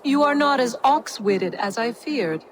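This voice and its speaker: An actress playing a cool, condescending giantess who bargains in a fantasy video game. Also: female voice, on the high side, expressive, unhurried, slight background noise. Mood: cold. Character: cold, scheming giantess offering a deal. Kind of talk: video game cutscene acting.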